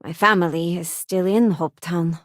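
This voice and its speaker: An actress voicing a sincere, principled young female space ranger and crew member in a video game, with a measured, plain-spoken delivery. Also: fairly low pitch, steady tone, no echo, clean studio sound, natural voice. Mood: earnest, thoughtful. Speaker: voice actress playing a game companion. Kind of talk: scripted video game voice lines.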